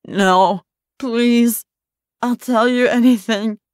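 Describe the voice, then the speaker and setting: A woman's voice: a little high, fairly even intonation, takes it slowly, dry recording, studio quality, voice pushed up. A woman voicing a terrified mother who pleads and weeps, desperate for her husband and children. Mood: terrified pleading.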